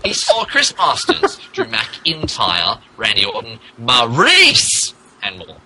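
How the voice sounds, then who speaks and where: A man's voice: mid-range voice, wildly swooping pitch, takes it slowly, background noise. A man reading fan fiction aloud in a mock-dramatic style, poking fun at the mistakes in the text as he goes. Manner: stumbles over and mocks the text's typos. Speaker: podcast host reading aloud.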